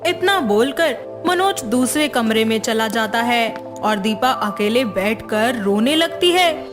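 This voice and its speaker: A woman narrating a scripted family story in an even, measured way, with soft music underneath. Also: on the high side.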